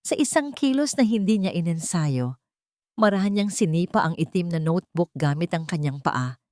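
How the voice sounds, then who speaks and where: A woman reads a scripted love story as narrator, in a measured, dramatic storytelling manner. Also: on the low side, expressive, darkish tone, dry recording, studio quality.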